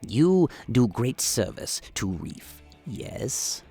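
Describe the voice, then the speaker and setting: A man voicing an earnest, humble alien warden in a radio play, speaking in halting, clipped, broken phrases. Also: medium pitch, very animated, mellow, husky, faint music behind, full character voice. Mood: probing. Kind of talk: radio play character acting.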